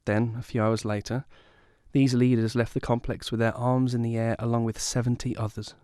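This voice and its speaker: A man's voice: medium pitch, little pitch movement, normal speed, dark tone, smooth, dry recording, clean studio sound, close to an everyday voice. A man playing a bereaved young survivor, giving dramatised first-person narration of a family tragedy. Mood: sombre.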